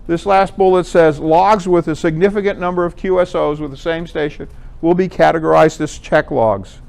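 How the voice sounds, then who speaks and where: An American man giving an informal presentation, explaining things conversationally and pausing to rephrase. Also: fairly high pitch, vocal fry, darkish tone, clean tone. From Connecticut, United States. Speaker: amateur radio contest organizer, presenter. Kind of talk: informal club presentation with slides.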